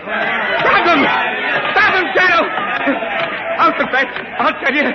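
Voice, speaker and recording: An actor playing a cowardly, panicky gangster who pleads frantically in 1930s radio style. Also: male voice, very high-pitched, animated, slow, muffled recording, over a noisy crowd, heavily acted. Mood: panicked. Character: panicky cowardly male gangster, quick to confess. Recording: noisy old 1930s radio recording, clamor behind.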